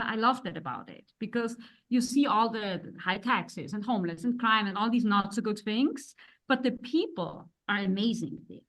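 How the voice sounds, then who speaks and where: A woman's voice: a little high, poor audio. Opinionated woman rambling on a podcast. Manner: opinionated, rambling, restarts sentences. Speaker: guest, wife of the other guest. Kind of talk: casual interview talk.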